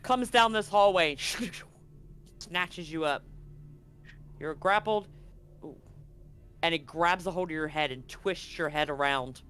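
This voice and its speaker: A man's voice: fairly high pitch, takes it slowly, long pauses, bright, forceful tone, faint music behind. A man speaking casually and with some swearing as game master of a tabletop role-playing game. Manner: casual, profane.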